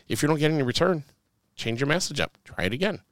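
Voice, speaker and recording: A man's voice: fairly high pitch, big pitch swings, quick pace, fairly bright tone, no echo. Rambling man giving podcast advice. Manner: rambling, full of fillers and sports analogies. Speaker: podcast co-host.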